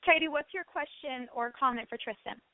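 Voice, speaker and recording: A woman's voice: sits high, brisk, no room sound, phone-quality sound. A woman hosting a live call-in show, encouraging and affectionate with the people she talks to. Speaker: radio show host taking listener calls.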